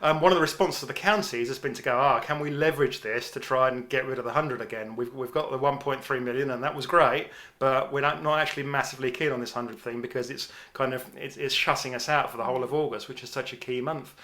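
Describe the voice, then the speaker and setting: A man's voice: medium pitch, steady tone, fast, few pauses, pushed and bright. A man with an English accent co-hosting a casual podcast, talking informally with frequent restarts. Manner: rambling, many restarts, informal. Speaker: podcast co-host. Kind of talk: casual cricket podcast chat.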